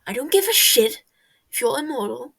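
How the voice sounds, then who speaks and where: A woman's voice: high-pitched, lively intonation, moderate pace, gravelly, fairly bright tone, slightly rough, full character voice. A woman voicing a brash, foul-mouthed sixteen-year-old boy in an audio story, blustering and tripping over words. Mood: defiant, furious. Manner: swearing, blustering, stumbling over words in agitation.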